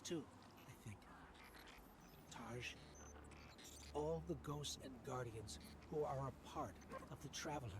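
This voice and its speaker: Little robot buddy, slow soft reflective talk, noisy game audio. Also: male voice, medium pitch. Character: small earnest floating robot companion, male. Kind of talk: video game cutscene.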